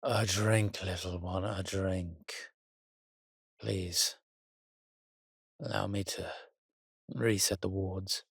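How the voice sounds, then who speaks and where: An actor playing a weary, wry, courtly vampire in a roleplay audio drama, speaking haltingly to the listener in a refined, slightly pompous manner. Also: male voice, low voice, fairly even intonation, vocal fry, slightly rough, no echo, studio quality, heavily acted. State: weakened, recovering.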